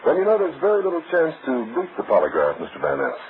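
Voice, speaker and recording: An actor playing an authoritative detective who questions and narrates with formal drama in an old radio crime show. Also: male voice, medium pitch, wildly swooping pitch, fast talker. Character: hard-boiled male detective, probing, authoritative narrator of cases. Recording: tinny, noisy old radio recording.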